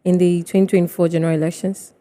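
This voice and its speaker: Female TV interviewer, Ghanaian accent, slightly low pitch, dark relaxed tone, conversational questioning. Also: very fast. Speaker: TV interview host.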